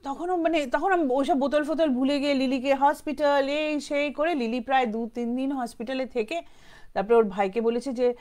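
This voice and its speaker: Higher-pitched woman, informal Bengali-accented storyteller. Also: normal speed, a little mellow. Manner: conversational, mixes English phrases into Bengali. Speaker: storyteller, show host. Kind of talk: informal spoken horror storytelling, unscripted.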